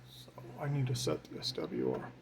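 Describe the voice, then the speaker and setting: A man's voice: fairly low pitch, big pitch swings, normal speed. A man giving an informal hands-on tutorial as a hobbyist, thinking aloud while he works. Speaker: radio hobbyist demonstrating his equipment. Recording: clear background noise.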